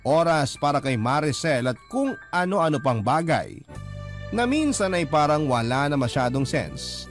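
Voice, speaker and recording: A man reading out a young wife's reflective life story as calm, confiding radio narration. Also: a little high, a little slow, faint music behind. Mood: reflective, wistful. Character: young wife and mother recounting her married life, reflective.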